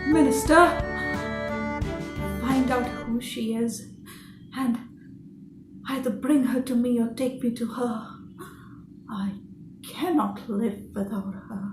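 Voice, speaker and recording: A woman's voice: on the high side, fairly even intonation, takes it slowly, pauses often. A woman voicing an imperious, headstrong young king in a storybook tale, declaiming dramatically. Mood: lovestruck, commanding. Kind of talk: acted storybook dialogue. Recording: echoey, with music underneath.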